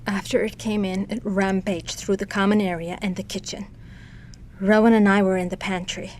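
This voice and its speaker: An actress playing a gentle, shaken survivor in an audio drama, speaking softly, consoling and somber. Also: female voice, medium pitch, level tone, normal speed, slight background noise, close to an everyday voice. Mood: shaken, urgent. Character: gentle, shaken woman survivor tending an injured friend.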